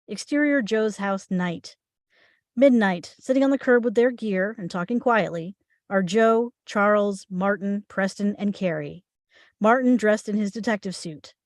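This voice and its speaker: A woman gives scripted narration of screen directions at a table read. Her pitch sits in the middle range, and the sound is dry with little room echo. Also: measured pace. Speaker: stage direction reader.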